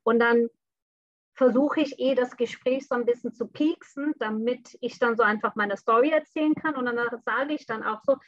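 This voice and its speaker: A woman's voice: a little high, normal speed, dry recording, highs cut off. A young woman who grew up in Bangladesh talks openly and reflectively as an interview guest, with many fillers. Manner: open, frank and reflective, with frequent fillers. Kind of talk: conversational interview.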